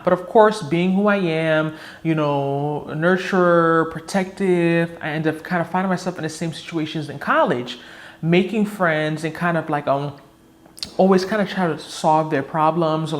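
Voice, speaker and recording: A woman's voice: deep voice, normal speed. A woman in her early thirties talking frankly to camera in a casual personal vlog, reflective and candid. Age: 30s. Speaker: vlogger.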